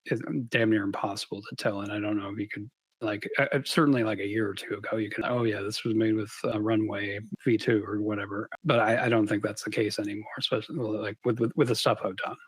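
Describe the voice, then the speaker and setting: A man speaking casually as an interview guest, in a halting, hesitant way. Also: lowish voice, steady tone, husky, dry recording. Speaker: interview guest, series creator.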